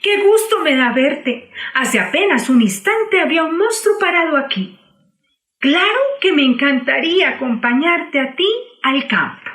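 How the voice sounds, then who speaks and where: A woman voicing a young female pig in a storybook reading, with a shrill, shrieking voice. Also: lively intonation, measured pace, fairly bright tone, roomy sound. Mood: delighted. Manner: shrieking, alarmed.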